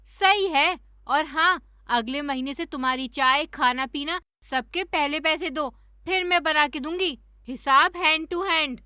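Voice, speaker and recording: Woman playing a shrill, nagging village wife. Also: takes it slowly, few pauses, bright, forceful tone, no echo, clean studio sound, exaggerated voice. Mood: indignant, sarcastic. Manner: scolding, sarcastic. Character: nagging, sarcastic village wife, sharp-tongued. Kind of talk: Hindi cartoon story dubbing.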